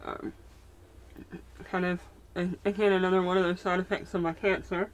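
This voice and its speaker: A woman's voice: fairly low pitch, little pitch movement, moderate pace. A woman from Mississippi with a strong Southern accent and a speech impediment, chatting casually while she does her makeup and rambling as she searches for words. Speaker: vlogger. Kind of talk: casual get-ready-with-me chat.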